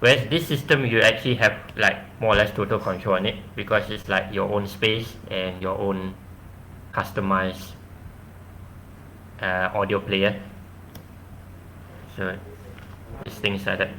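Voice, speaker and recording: A man answering questions after his own tech presentation over a video call, explaining haltingly with frequent restarts. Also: lowish voice, steady tone, pushed and bright, a little hiss or hum, rough recording. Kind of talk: casual tech talk Q&A.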